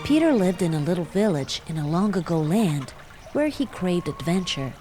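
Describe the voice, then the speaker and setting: A woman narrates a children's bedtime tale, speaking directly and warmly to young listeners. Her pitch rises and falls in an animated, expressive way. Background music accompanies her throughout. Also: moderate pace. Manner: warm, animated storytelling addressing children. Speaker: storyteller.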